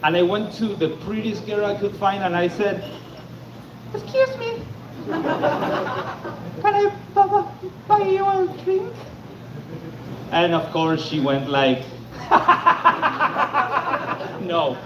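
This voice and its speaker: A man from Argentina doing a stand-up comedy set on a club stage, stammering into deadpan punchlines. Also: sits high, takes it slowly, pauses often. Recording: echoey club, lo-fi, noisy.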